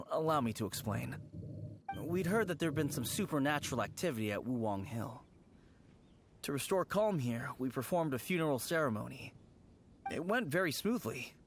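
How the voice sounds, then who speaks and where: A man voicing a polite, formal consultant who explains matters calmly in a game. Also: mid-range voice. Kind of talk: video game voice acting.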